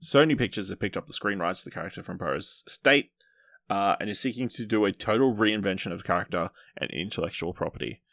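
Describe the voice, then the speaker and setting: A man's voice: sits low, fast talker, creaky, a bit bright, no room sound. A man hosting a podcast, chatting casually about film and reading out news items.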